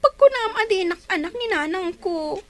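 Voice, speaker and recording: A woman's voice: very high-pitched, animated, moderate pace. A woman playing a meek, pleading young daughter with an Ilocano accent and plaintive manner in a radio drama. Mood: downcast. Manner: plaintive, submissive.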